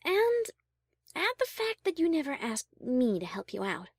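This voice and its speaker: Refined mare cellist, played by a woman, audio drama. High, lively intonation, tender and earnest. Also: measured pace, dry recording, clean studio sound, exaggerated voice.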